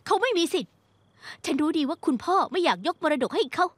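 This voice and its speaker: A woman's voice: extremely high pitch, lively intonation, fast, dry recording. An actress playing a distraught, insistent young woman in a dubbed TV melodrama, pleading and emotional. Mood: bitter, pleading. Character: distraught, insistent young woman fighting for her father's inheritance.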